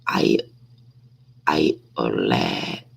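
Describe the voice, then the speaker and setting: A woman reading a simple story aloud as practice, hesitantly, repeating and correcting words, with a raspy, creaky voice. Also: a bass voice, expressive, drawn-out words.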